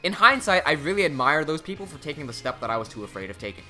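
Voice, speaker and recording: Young man, native English and Cantonese speaker, chatty self-mocking vlogger, animated delivery. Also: fairly high pitch, fast talker, soft background music. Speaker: language-learning YouTuber. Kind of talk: casual vlog narration.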